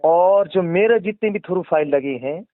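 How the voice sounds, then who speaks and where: High-voiced Mewati man on a narrow phone line. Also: normal speed, no echo. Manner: deferential, agreeing, pleading to be heard. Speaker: accused cleric answering questions. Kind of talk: defensive phone conversation. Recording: narrow tinny phone call recording.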